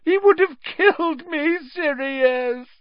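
A man voicing a cringing, cowardly male traitor in a high, whining, tearful, gasping voice, pleading desperately in an audiobook story. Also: expressive, takes it slowly, no room sound, dull audio. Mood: terrified whining. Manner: grovelling, repetitive, desperate pleading.

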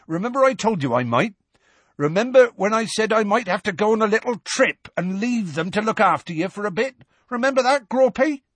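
A man voicing a big, gruff half-giant who shouts and coaxes in rustic, colloquial speech for a storybook. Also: a little high, big pitch swings, unhurried, no room sound, voice pushed up, heavily acted. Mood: loud coaxing.

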